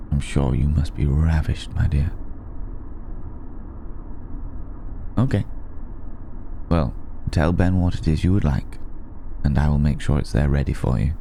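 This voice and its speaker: A man playing an ancient, courteous vampire in an intimate ASMR roleplay, patient and seductive, with halting, deliberate, formal phrasing. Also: a bass voice, dark tone, a little hiss or hum. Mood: suave, inviting.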